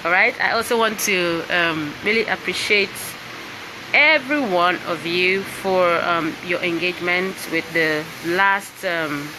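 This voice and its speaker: A woman's voice: lowish voice, unhurried, background noise. A Nigerian woman, a singer and voice coach, talking casually to viewers on a live stream from indoors and often checking in with "all right?" and "you understand?". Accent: Nigerian English. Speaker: singer and voice coach presenting her book. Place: indoors with piano and laptop.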